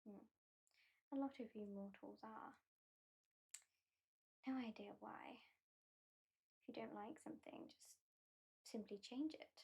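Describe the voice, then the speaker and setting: A haughty British vampire lady, played by a woman in an ASMR roleplay, soft and breathy with a slightly raised, mellow tone. She drawls slowly and teasingly, talking down to her new mortal pet and often sinking into a close-up whisper. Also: a little high, full character voice. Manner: slow, teasing, condescending, often drops to a whisper. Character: haughty female vampire who claims the listener as a pet.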